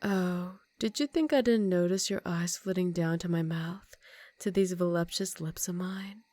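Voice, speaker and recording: A woman's voice: fairly low pitch, unhurried, dark tone, no room sound. A woman voicing a seductive, commanding vampire countess in an intimate ASMR roleplay, teasing and often dropping into whispers. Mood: seductive, amused.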